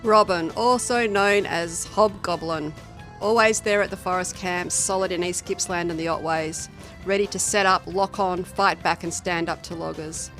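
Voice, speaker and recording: A woman's voice: fairly low pitch, steady tone, normal speed, fairly bright tone. An Australian woman reading out written memorial tributes as part of a podcast. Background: faint music.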